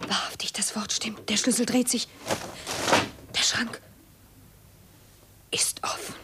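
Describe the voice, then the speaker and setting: An actress playing an anxious, resigned woman who speaks in a hushed, hesitant way in a 1950s German radio drama. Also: on the high side, steady tone, slow pace, whispered. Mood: hushed suspense. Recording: faintly noisy 1950s radio recording.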